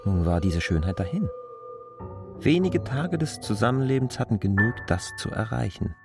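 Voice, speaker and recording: A man's voice: lowish voice, animated, moderate pace, music in the background. A man reading literary narration in a reflective manner, as if voicing inner thoughts.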